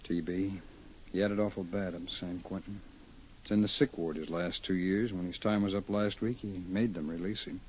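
An actor playing a gruff, blunt, impatient male police detective in a 1950s radio drama. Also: low voice, steady tone, moderate pace, darkish tone, a little hiss or hum, lightly acted. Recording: dull 1950s radio broadcast.

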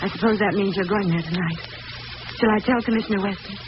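An actress playing a solicitous, affectionate detective's companion in a 1940s radio drama. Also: female voice, medium pitch, fast, natural voice. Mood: fond, concerned. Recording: noisy, muffled vintage radio broadcast recording.

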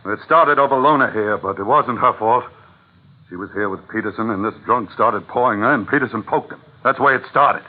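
A man playing a rough, scrappy, loyal sailor in an old-time radio drama, husky and bluntly slangy. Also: lowish voice, a little fast. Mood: matter-of-fact. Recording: tinny vintage radio recording.

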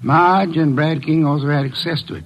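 An actor playing an imperious detective who speaks formally and precisely, in old-time radio mystery style. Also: male voice, fairly high pitch, moderate pace, husky. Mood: stern, deliberate. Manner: commanding, formal, precise diction. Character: imperious, deliberate male detective, a mastermind unmasking a killer. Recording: muffled, dull old radio recording.

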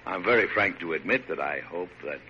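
An actor playing a dignified, kindly but firm older American President, speaking formally and with measure in a classic radio drama. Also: male voice, low voice, expressive. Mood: frankly admonishing. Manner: formal, measured, hesitant at times. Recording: noisy, dull vintage radio recording.

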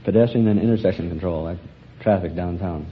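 A man's voice: deep voice, fast. A man in his twenties, a quiz contestant answering politely and matter-of-factly. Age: 20s. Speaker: police officer, quiz contestant. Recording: dull vintage radio recording, faint noise.

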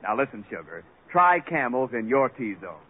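A man acting as a 1940s radio announcer, in a smooth salesman manner, heard on an old broadcast. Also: mid-range voice, big pitch swings, moderate pace, narrow, tinny audio, slight background noise. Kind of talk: 1940s radio comedy and sponsor read.

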